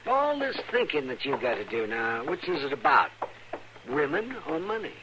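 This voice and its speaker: An actor playing a teasing older American town doctor, dry and folksy, in an old radio Western. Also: male voice, mid-range voice, big pitch swings, normal speed. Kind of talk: 1950s radio Western acting. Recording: noisy, crackly old radio recording.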